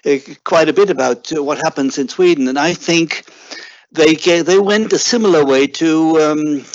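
A man with a German accent giving measured, explanatory interview answers as a guest expert, with hesitant pauses. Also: on the high side, dull audio.

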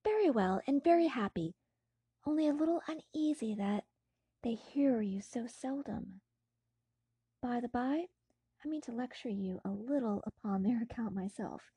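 A woman voicing an eager, cheerful young male friend in an audiobook, with a husky voice and an effusive, exclamatory manner. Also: a little high, normal speed, dark tone, no room sound, voice pushed up. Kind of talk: dramatised audiobook reading.

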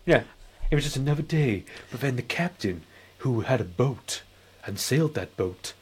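A man's voice: medium pitch, lively intonation, moderate pace, husky. A man in his forties chatting casually as a film podcast co-host, rambling and often repeating himself. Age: 40s. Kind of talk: casual film review chat.

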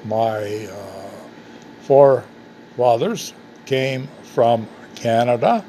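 An American man from the Upper Midwest, an English teacher giving an earnest, measured talk that poses questions and answers them. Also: mid-range voice, animated, warm tone, a little hiss or hum. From United States. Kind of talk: reflective talk to camera.

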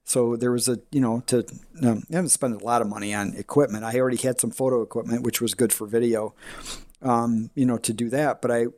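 A man hosting a casual podcast conversation, talking in a flat voice with frequent restarts and trailing thoughts. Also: mid-range voice, quick pace, no echo. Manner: rambling, restarts often, self-described flat voice.

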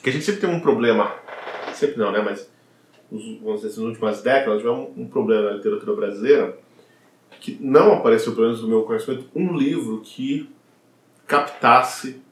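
A man's voice: medium pitch, brisk. Man rambling casually in an echoey room. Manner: searching for words, many fillers and tag questions. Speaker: commentator on a book. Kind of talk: casual chat. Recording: echoey room with faint noise.

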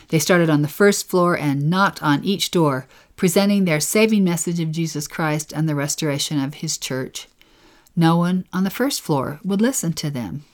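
A woman co-teaching a devotional scripture lesson in a gentle, earnest way, lingering on key phrases. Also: deep voice.

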